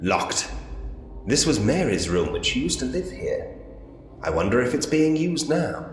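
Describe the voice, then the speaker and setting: A man's voice: mid-range voice, very animated, measured pace, background noise. A man voicing a polite, drily whimsical Victorian police inspector who muses to himself in an adventure game. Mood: musing, curious. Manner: musing to himself, genteel, drily humorous. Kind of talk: point-and-click adventure game voice acting. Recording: reverberant room with long echo. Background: game music.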